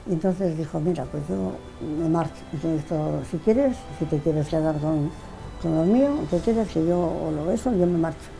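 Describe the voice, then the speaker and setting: Older woman, interviewee, rambling recollection, dark mellow tone, faint music bed. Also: deep voice, normal speed, gravelly, rough recording. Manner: rambling, repeats words, restarts often. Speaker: interviewee, widow of the artist. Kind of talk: casual reminiscing in an interview.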